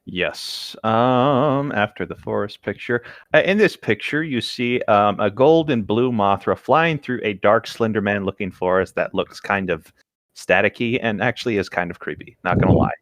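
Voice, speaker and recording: A man with a fairly low voice, talking casually in a group read-along. He rambles and hesitates as he goes, and the sound is dry and close. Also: normal speed, dry recording. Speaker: co-reader on a group creepypasta reading show. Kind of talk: casual group chat with story read-aloud. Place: online voice chat.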